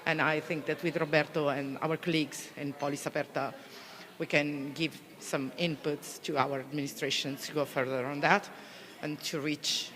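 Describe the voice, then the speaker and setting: Italian policewoman, halting, echoey hall. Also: low voice, fairly even intonation, a bit bright. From Italy. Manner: halting, searching for words, many restarts. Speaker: police officer. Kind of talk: interview answers, conference delegate.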